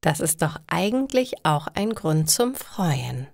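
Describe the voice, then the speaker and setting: Woman playing a slow-spoken, reassuring mother. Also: low voice, very animated, vocal fry, dry recording, clean studio sound, exaggerated voice. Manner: gentle, encouraging. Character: caring, practical, reassuring middle-aged mother. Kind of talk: acted dialogue in a slow learner's audio story.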